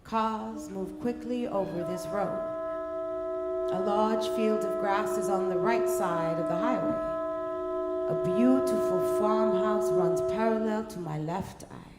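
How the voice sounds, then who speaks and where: A woman reading a scripted story in a measured, deliberate way, pausing between short phrases. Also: medium pitch, big pitch swings. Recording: echoey room, faint music behind.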